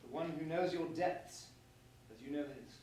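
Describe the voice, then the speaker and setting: Man acting a high-voiced, pompous young stage prince. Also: moderate pace, mellow, lightly acted. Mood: tender, earnest. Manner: theatrical, formal verse declamation. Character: pompous young prince, grandiose, softened by love. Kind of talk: staged reading of verse comedy. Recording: very echoey room, distant mic, faint noise.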